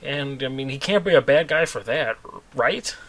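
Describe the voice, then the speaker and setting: A man's voice: medium pitch, expressive, normal speed, fairly bright tone. A man narrating a scripted comedic story as its host, in a conversational way with wry asides.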